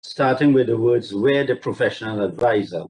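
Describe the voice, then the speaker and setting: Deliberate, formal male barrister. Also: medium pitch, darkish tone, poor audio. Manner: deliberate, measured, courteous and formal. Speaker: barrister addressing a court. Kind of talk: formal legal submission, quoting judgments. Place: remote court hearing over video link. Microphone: video call.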